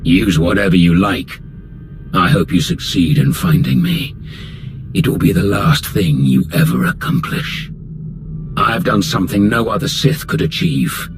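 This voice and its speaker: An arrogant old Sith lord from a video game. The voice sits very low and moves with deliberate, imperious contempt, full of menace. Music plays clearly underneath. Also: male voice, expressive. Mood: defiant menace. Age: older. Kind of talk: scripted video game acting. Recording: music behind the voice.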